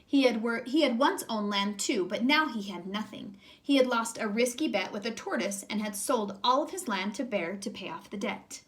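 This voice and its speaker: A woman's voice: a little high, expressive, moderate pace, few pauses. A woman teacher reading a children's storybook aloud expressively, speaking directly to young listeners.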